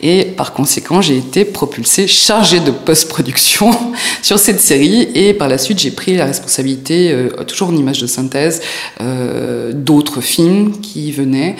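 A woman's voice: deep voice, animated, unhurried. A woman in her forties from the United States, answering interview questions with frequent hesitations and fillers.

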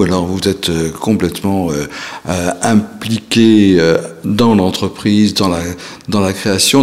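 A man hosting an interview who talks haltingly, with many restarts and fillers. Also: lowish voice, a little mellow. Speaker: host. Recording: long reverb.

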